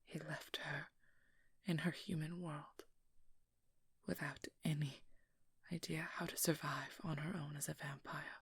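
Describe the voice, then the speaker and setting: A woman playing a guarded, protective young vampire hunter in a hushed, breathy ASMR roleplay, speaking slowly with halting pauses close to the mic. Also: low voice, flat delivery, no room sound, heavily acted. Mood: bitter, sorrowful. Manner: slow, halting, intimate delivery with pauses. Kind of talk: whispered ASMR roleplay, dramatic monologue. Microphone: close microphone, dry.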